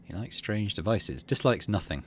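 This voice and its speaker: Low-voiced man with lively intonation. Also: takes it slowly, slightly rough. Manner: conversational, musing. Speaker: gaming video host. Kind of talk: casual playthrough commentary and narration.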